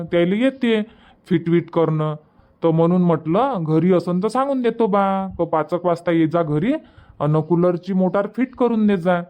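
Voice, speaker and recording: A man's voice: high voice, clean tone, muffled recording, natural voice. A man voicing an easygoing, stubborn village husband in a cartoon comedy, chatty and colloquial, with a rural Varhadi Marathi accent. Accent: rural Varhadi Marathi from Vidarbha. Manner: casual, colloquial, explaining at length.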